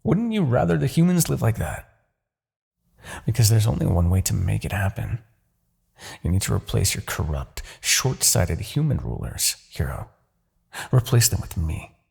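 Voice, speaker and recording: Gravelly, menacing demon king. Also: fairly low pitch, sing-song, moderate pace, pauses often, darkish tone, heavily acted. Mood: smug, scornful. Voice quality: gravelly, husky. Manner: theatrical, condescending, lingering on words. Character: immortal demon king, smug, menacing, coldly persuasive villain. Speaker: roleplay audio performer. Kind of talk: fantasy ASMR roleplay acting.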